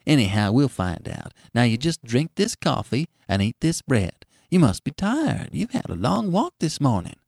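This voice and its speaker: A man's voice: medium pitch, big pitch swings, normal speed, gravelly, hardly any pauses, a little mellow, breathy edge, no echo, studio quality, heavily acted. A man voicing a kindly, stout old farm woman with a folksy, motherly rural American manner. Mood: soothing. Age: older.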